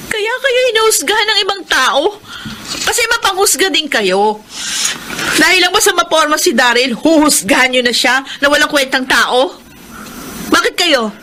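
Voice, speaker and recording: A woman's voice: sits high, animated, normal speed, slight background noise. A woman playing a resentful young student daughter in a Filipino radio drama, pouty and argumentative, with a Filipino accent. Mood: angry, accusing. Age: young adult. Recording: radio drama with loud music underneath.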